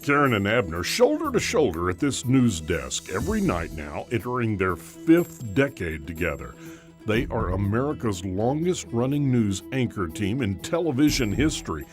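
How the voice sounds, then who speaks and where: Lively male feature narrator over music. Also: fairly low pitch, normal speed. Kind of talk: scripted narration.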